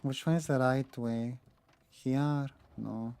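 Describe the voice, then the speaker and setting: A woman giving casual, low-key gameplay commentary, muttering her reactions as she plays. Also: takes it slowly, warm tone, smooth. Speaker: gaming content creator.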